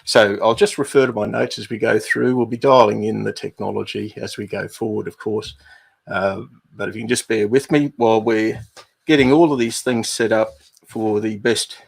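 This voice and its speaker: A middle-aged Australian man with an Australian accent, hosting a livestream and walking viewers through housekeeping in an unhurried way with many fillers. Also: lowish voice, fairly even intonation. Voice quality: gravelly, husky. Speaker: livestream host, business advisor.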